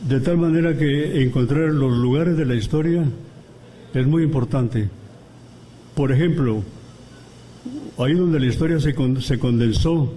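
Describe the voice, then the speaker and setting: Measured man, mellow voice. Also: mid-range voice, slight background noise, low-quality recording. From Guatemala. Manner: measured and deliberate, pausing between phrases. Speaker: guest commentator at a book presentation. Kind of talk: academic lecture. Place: university auditorium.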